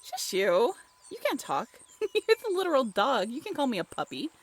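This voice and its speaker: A woman voice actor playing a playful, teasing young woman in an intimate, conversational roleplay audio drama, expressive and a little halting. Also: high voice, heavily acted. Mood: playful, affectionate.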